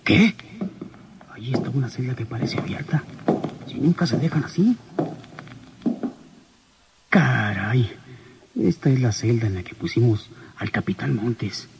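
Nervous, creaky-voiced henchman. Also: medium pitch, lively intonation, unhurried, long pauses. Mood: puzzled, uneasy. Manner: agitated muttering to himself. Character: nervous gangster henchman, fearful of his boss. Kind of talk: old radio serial monologue. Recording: noisy old radio drama recording.

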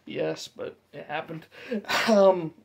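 A young man giving a casual game recap from notes, speaking haltingly and stumbling over names and figures. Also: on the high side, fairly even intonation, vocal fry, a little mellow. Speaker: hockey fan vlogger. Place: dim bedroom, seated at desk.

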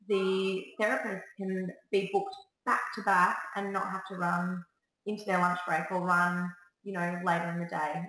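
A woman's voice: mid-range voice, pushed and bright. A woman in her thirties, a salon owner, giving chatty, upbeat business tips straight to a webcam in a small salon room. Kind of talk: direct-to-camera business advice. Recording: echoey room.

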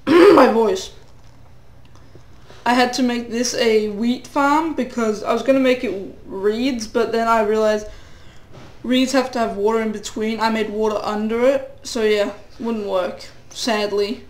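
A man's voice: very high-pitched, fairly even intonation, measured pace, echoey room. A teenage boy with a cold giving rambling, self-deprecating commentary while playing a game. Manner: rambling, self-deprecating, sing-song asides. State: a cold, voice getting hoarse. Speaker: gamer.

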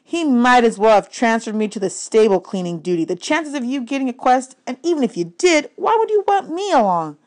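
A woman's voice: fairly high pitch, sing-song, a little fast, few pauses, a little mellow, pitched above the performer's own voice. A woman voicing a nervous, glum young male satyr sidekick in a children's audiobook, explaining things anxiously. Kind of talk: children's fantasy audiobook character.

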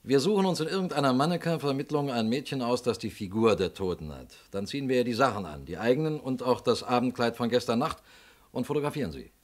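A man's voice: medium pitch, animated, fast talker, close to an everyday voice. An actor playing an eager, deferential police detective, loyal assistant to his chief, explaining keenly in a German radio crime drama.

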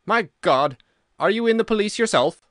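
A man's voice: high voice, expressive, normal speed, a bit bright, no echo, clean studio sound, voice pushed up. A man voicing a bitter, broken older ex-soldier in an audiobook, telling his story with vehemence. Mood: agitated. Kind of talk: audiobook character reading.

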